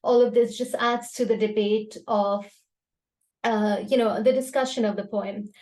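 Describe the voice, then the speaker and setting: A woman's voice: mid-range voice, fairly even intonation, unhurried, dark tone. A woman introducing and reciting poetry at an online gathering in an explanatory, conversational way. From Karachi, Pakistan. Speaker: host and poet at a poetry gathering. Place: online video call.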